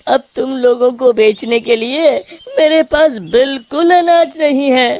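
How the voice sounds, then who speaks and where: A woman acts out a cowardly male village shopkeeper in a cartoon, lamenting in slow, drawn-out wails. The voice is high and swoops with distress, over faint noise. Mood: woeful. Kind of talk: cartoon moral-story acting.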